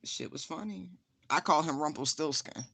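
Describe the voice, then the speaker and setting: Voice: medium pitch, normal speed, vocal fry, bright, forceful tone, no room sound. A tarot reader giving a casual spiritual reading, frank and profane, laughing often and repeating phrases for emphasis.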